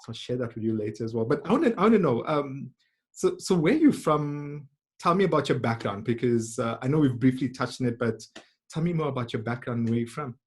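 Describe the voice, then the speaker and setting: A man's voice: mid-range voice, animated, fairly quick, slightly rough. A man hosting a webinar interview, asking friendly questions and often repeating his words. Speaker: webinar host. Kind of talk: casual interview. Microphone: video call.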